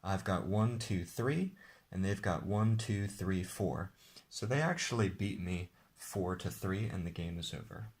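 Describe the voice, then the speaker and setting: A man, the game's designer, walking viewers through how to play his card game in a relaxed, explanatory way. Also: low voice, measured pace. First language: English. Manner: relaxed, explanatory, with occasional restarts. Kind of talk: casual tabletop game demonstration.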